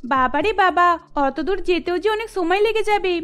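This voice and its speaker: A woman's voice: very high voice, lively intonation, moderate pace, a bit bright, faint music behind. A woman voicing a sensible young wife who works as a makeup artist in a cartoon, speaking politely and evenly. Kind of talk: cartoon voice acting.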